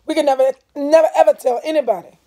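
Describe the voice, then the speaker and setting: A woman's voice: sits high, fast, mellow, pitched above the performer's own voice, close to an everyday voice, rough recording. A woman voicing an earnest older brother, a boy in a storybook, speaking emphatically and insistently. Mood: urgent, adamant.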